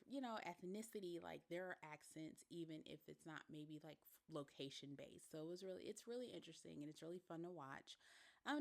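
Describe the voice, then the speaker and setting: A Southern US woman with a slightly low voice hosts a chatty solo podcast. She talks informally, acting out little exchanges and dropping in slangy asides as she goes. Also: creaky. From Mississippi, US South. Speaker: podcast host and coach.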